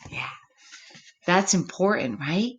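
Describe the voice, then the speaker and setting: Woman, children's storyteller: slow delivery, lively swooping intonation, simple wording, gentle asides to little listeners. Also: on the low side. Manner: expressive, gently questioning, speaks simply as to small children. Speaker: storyteller reading a picture book to children. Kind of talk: children's story time, read-aloud with asides to young listeners.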